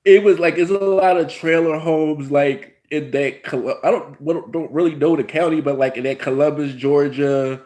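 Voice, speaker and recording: A man's voice: fairly high pitch, steady tone, moderate pace, hardly any pauses, darkish tone. Rambling man in his thirties with a cold. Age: 30s. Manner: rambling, frequent "you know" fillers, restarts. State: has a cold. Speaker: host. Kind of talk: casual reality-TV review vlog.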